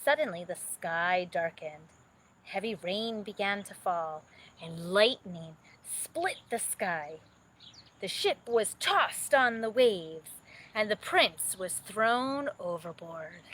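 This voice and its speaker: Woman storyteller, children's bedtime narration, unhurried pace, dramatic emphasis, slight noise behind. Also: medium pitch, expressive, a bit bright.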